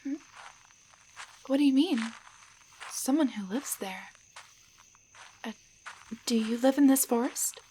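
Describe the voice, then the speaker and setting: A woman's voice: sits high, slow, long pauses, dark tone, slight background noise, rough recording. A woman playing a tiny, frightened enchanted doll, a cursed waitress, pleading hesitantly in a roleplay audio drama. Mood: wary, hushed. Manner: breathy, hesitant, urgent pleading.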